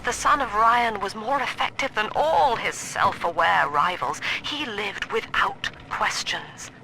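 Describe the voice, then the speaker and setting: An actress playing a cold, cerebral middle-aged psychiatrist and cult leader in a video game, speaking in a formal, precise, solemn manner. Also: female voice, mid-range voice, measured pace, bright, forceful tone, a little hiss or hum, poor audio. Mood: hushed, awed. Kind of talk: dramatic video game monologue.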